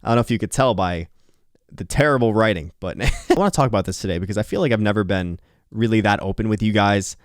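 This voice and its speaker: A man's voice: on the low side, fast, no room sound, studio quality. A tired young man recording a casual late-night talk, rambling and candid, swearing and laughing now and then, with a slightly nasal voice. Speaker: YouTuber. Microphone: earphones on, close mic.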